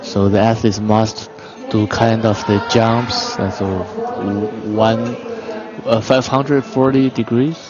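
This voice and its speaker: Halting male expert commentator, dull broadcast sound. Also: lowish voice, little pitch movement. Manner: halting, explanatory non-native English. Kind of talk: technical martial arts commentary. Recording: muffled broadcast audio, music behind. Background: music in a venue.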